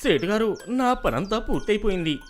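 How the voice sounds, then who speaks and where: A woman's voice: medium pitch, very animated, normal speed, soft background music, natural voice. A woman voicing a humble, polite, poor young male farm labourer in a cartoon moral story. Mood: meek. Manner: meek, polite.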